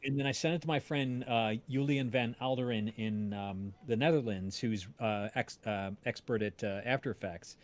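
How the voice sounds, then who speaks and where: Rambling man telling stories casually. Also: mid-range voice. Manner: rambling, halting, self-interrupting. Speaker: podcast creator and voice-drama maker. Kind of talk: casual storytelling on a livestream.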